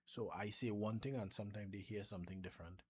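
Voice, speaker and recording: A Trinidadian man with a Trinidadian accent, talking casually through a software demo and thinking aloud, often restarting his sentences. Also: fairly low pitch, fast talker, breathy edge. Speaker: software developer vlogger. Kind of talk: casual screen-share demo.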